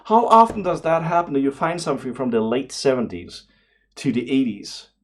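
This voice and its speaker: A middle-aged man talking casually and excitedly as he hosts an unboxing video, rambling along with frequent exclamations. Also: mid-range voice, normal speed. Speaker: video host.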